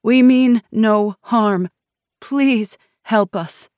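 A narrator voicing a frightened, pleading refugee woman in an audiobook, with drawn-out phrases. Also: mid-range voice, a little mellow, no room sound, studio quality, pitched above the performer's own voice, lightly acted. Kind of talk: audiobook character dialogue.

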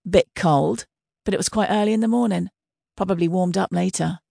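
A woman's voice: on the low side, brisk, vocal fry, mellow, no room sound, clean studio sound. A woman voicing a cautious young policewoman who answers in short, hesitant replies. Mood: wary.

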